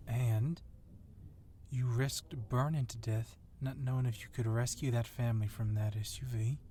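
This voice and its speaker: A man's voice: medium pitch, fairly even intonation, normal speed, mellow, close to an everyday voice. A man playing a confident, sly policeman wrestler who teases and goads his rival in an ASMR roleplay. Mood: sly, admiring. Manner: teasing, needling.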